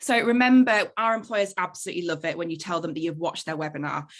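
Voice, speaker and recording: A woman's voice: lowish voice, fairly even intonation, fast. A woman hosting a careers webinar in a chatty, effusive and encouraging way. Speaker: webinar host.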